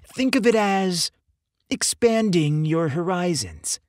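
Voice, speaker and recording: Man narrating as a teasing, mischievous young guy, animated. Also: sits high, takes it slowly, dry recording, clean studio sound, pitched above the performer's own voice. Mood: playful goading. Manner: goading, playful. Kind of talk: audiobook character dialogue.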